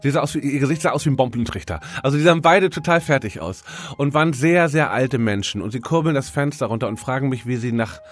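A man's voice: mid-range voice, animated, fast talker, hardly any pauses. A man with a cold co-hosting a radio show, telling rambling, self-mocking anecdotes in casual banter. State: has a cold, congested. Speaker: radio co-host. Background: faint music.

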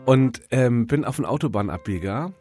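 A man's voice: mid-range voice, lively intonation, moderate pace, a little mellow. A man with a cold co-hosting a radio show, telling rambling, self-mocking anecdotes in casual banter. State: has a cold, congested. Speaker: radio co-host. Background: faint music.

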